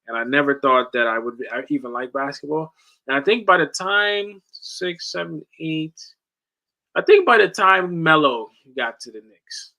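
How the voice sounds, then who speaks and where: A man's voice: fairly high pitch, lively intonation, normal speed, gravelly, sharp tone. A man in his late twenties from the Netherlands who moved to the US as a child, chatting casually about sports with lots of slang and swearing. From Netherlands, moved to the US at ten. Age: late 20s. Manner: slangy, swearing, says "bro" often. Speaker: sports watch-along streamer.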